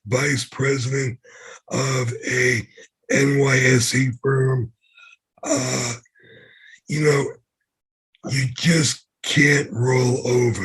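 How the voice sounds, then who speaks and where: Middle-aged New York man, very hoarse and raspy, slow deliberate pace, guest recounting his life. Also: medium pitch, a bit bright, low-quality recording. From Brooklyn, New York. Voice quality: hoarse, damaged voice. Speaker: podcast guest. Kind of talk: interview storytelling.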